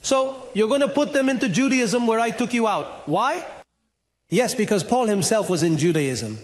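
High-voiced man preaching a sermon. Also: normal speed. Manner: animated, gesturing, acts out dialogue, rises to shouts. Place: TV studio stage. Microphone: handheld mic.

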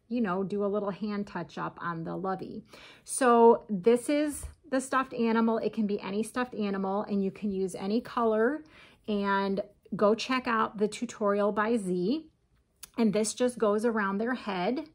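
Slow-talking woman with a gravelly voice. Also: a little high, fairly bright tone. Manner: chatty, often addresses "you guys", upbeat. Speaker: crochet vlogger. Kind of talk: casual show-and-tell chat. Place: home kitchen, indoors.

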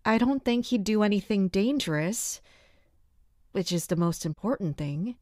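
An actress playing a guarded but caring woman in an audio drama, speaking in a calm, measured, gentle way. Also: mid-range voice, no room sound, clean studio sound, close to an everyday voice. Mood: pensive, guarded. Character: guarded, caring woman, composed royal investigator. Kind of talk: audio drama acting with first-person narration.

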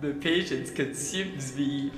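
A man's voice: mid-range voice, slow pace, fairly bright tone. A man voicing a solemn, menacing murderous twin for an amateur horror game mod. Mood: calm menace. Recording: echoey reverb with game music behind.

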